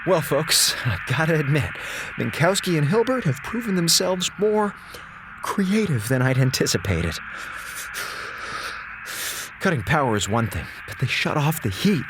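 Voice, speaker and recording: A man's voice: on the high side, big pitch swings, measured pace, noisy background. An actor playing a sarcastic, self-pitying male comms officer stranded in space, talking to himself in a running monologue. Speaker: communications officer. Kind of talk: audio drama acting.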